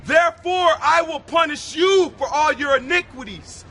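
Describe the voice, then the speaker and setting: A young man preaching on a city sidewalk, arguing loudly and reading scripture in a slow booming cadence. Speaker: street preacher. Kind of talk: street debate. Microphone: camera mic at close range. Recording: outdoor street noise.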